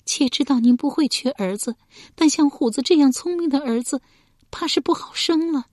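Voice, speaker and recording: A woman's voice: high-pitched, lively intonation, brisk, a little mellow, no room sound, studio quality, pitched above the performer's own voice. A woman storyteller playing an anxious young mother from ancient times, questioning and pleading with her husband. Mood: timid pleading. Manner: anxious, questioning, tearful when protesting. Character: frightened pleading young mother, wife of an ancient court cook.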